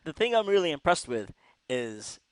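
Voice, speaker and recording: A man co-hosting a relaxed, conversational recap of episodes. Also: fairly high pitch, moderate pace, clean tone, dry recording. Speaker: co-host reviewing cartoon episodes. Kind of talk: casual chat recapping episodes.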